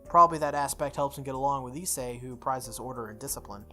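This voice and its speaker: A man's voice: medium pitch, fast talker, sharp tone, faint music behind. A man hosting a playthrough, reading a visual novel aloud expressively, with casual asides and the odd stumble. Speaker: let's play host.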